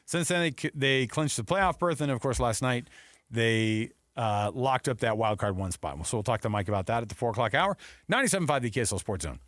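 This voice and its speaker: Man, American accent, medium pitch, dry studio sound, casual sports talk co-host, conversational. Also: animated, brisk, a bit bright, no room sound.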